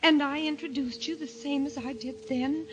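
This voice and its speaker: A woman's voice: high-pitched, steady tone, moderate pace, background noise, lightly acted. A woman playing a fluffy, timid wife who recounts a nightmare in an old-time radio mystery, naive and dreamy. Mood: nervous chatter. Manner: naive chatter, dreamy first-person narration. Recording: muffled vintage radio recording with music.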